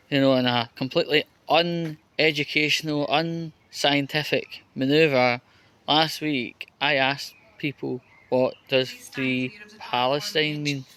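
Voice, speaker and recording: Slow-talking Scottish man vlogging outdoors. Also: mid-range voice, fairly bright tone, smooth. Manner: rambling, conversational, with frequent fillers and restarts. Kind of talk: casual walking monologue. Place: outdoors at a train station, then in a lift.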